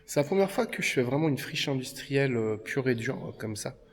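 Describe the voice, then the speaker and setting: A man's voice: mid-range voice, expressive, a little fast. A man exploring an abandoned industrial building, talking hesitantly with many fillers and calling out to spirits. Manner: hesitant, many fillers, drops to hushed murmurs. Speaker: paranormal investigator. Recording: echoey hall with faint noise.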